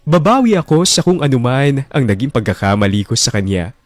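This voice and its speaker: A man's voice: mid-range voice, very animated, fairly quick, no room sound. A man narrating a heartbroken first-person love story in a radio drama. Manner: brooding, heartbroken confession. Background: soft music bed.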